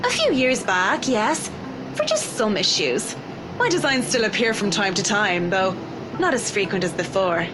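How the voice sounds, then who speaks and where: Poised, expressive actress as a woman designer. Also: medium pitch, normal speed, noisy background, close to an everyday voice. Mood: poised, friendly. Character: poised, professional female interior designer. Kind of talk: visual novel game dialogue. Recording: music behind the voice.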